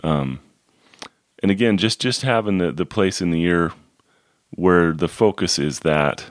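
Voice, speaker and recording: A man co-hosting a podcast, hoarse this morning, talking in a halting, casual way with many fillers and repeated words. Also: deep voice, no echo, studio quality. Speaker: podcast co-host. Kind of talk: casual chat.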